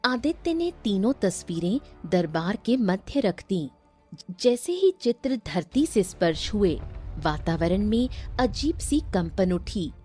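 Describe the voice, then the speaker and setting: A woman's voice: medium pitch, sing-song, slow pace, mellow, husky, soft background music. A woman narrating a scripted story as its storyteller.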